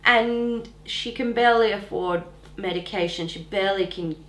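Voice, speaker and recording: A woman's voice: mid-range voice, normal speed, fairly bright tone. Echoey young Australian woman, blunt chat. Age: 20s. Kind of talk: casual opinionated chat.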